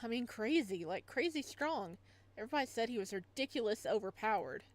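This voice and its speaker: A woman reading visual novel lines as a slangy, emphatic young male bar host sharing excited street gossip. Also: a little high, a little fast, close to an everyday voice. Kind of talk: visual novel dialogue read aloud.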